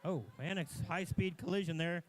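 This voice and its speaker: A man's voice: high-pitched, fairly even intonation. Man calling scores at a robot match. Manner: brisk calls of scores and action. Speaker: play-by-play commentator at a robotics competition. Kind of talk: live event commentary. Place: competition venue.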